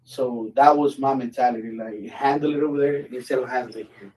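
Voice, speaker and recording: A man's voice: mid-range voice, fairly quick, a little mellow. Blunt, cursing veteran man, distant mic. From Mexico. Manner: blunt, swears freely, easygoing storytelling. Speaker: military veteran guest, channel host. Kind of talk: casual live chat.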